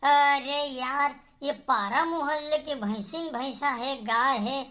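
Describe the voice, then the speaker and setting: A man playing a worried young villager in a cartoon, with a Chhattisgarhi accent, a pleading rustic manner and a pitched-up voice. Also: slow, background noise. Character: worried village youth tending hungry calves. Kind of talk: cartoon comedy dialogue.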